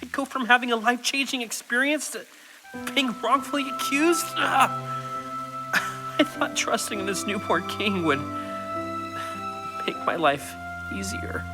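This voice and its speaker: An earnest young servant lad in an audio drama. He sounds flustered and plaintive, prone to stammering, with loud music behind him. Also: very high voice, expressive, unhurried, pauses often, full character voice, low-quality recording. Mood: exasperated.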